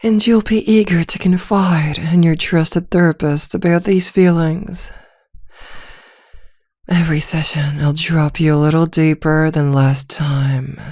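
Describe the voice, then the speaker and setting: A woman playing a seductive, domineering female vampire hypnotist in an ASMR roleplay, speaking softly, deliberately and with teasing command. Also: low-pitched, dry recording, studio quality. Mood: gloating, hypnotic.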